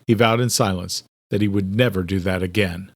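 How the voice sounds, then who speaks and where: A man's voice: deep voice, steady tone, a little fast, no echo. Dry, close-sounding male thriller narrator. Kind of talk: scripted narration of a thriller audiobook.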